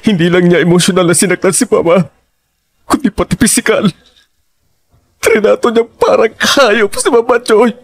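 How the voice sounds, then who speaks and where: A man's voice: sits high, lively intonation, normal speed, long pauses. An actor playing a grieving, distraught young man confiding in a friend in a horror audio drama, emotional and pleading.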